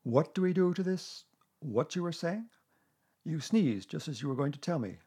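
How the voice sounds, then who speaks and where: A man voicing a humble, slow-witted, gentle bear in a children's storybook, speaking in a simple, dreamy, earnest way. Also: a little high, lively intonation, breathy edge, pitched above the performer's own voice. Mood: puzzled, earnest.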